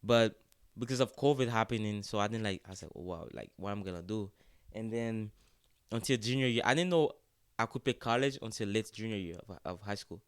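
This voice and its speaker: A young man from Haiti, a student-athlete guest, speaking in a relaxed, casual way and often saying "like" and "yes, sir" in an interview. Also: fairly low pitch, fairly quick, clear, resonant tone, dry recording, clean studio sound. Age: young adult.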